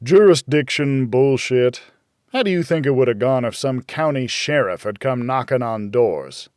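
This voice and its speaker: A man's voice: mid-range voice, lively intonation, normal speed, a little mellow, no room sound, studio quality, close to an everyday voice. Man playing blunt, worried older pal. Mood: exasperated. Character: worried, blunt, exasperated older male friend, father.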